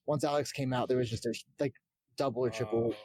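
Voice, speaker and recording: A man's voice: mid-range voice, fairly even intonation, fast, warm tone. A man chatting casually about games as a podcast panelist. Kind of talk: casual gaming chat.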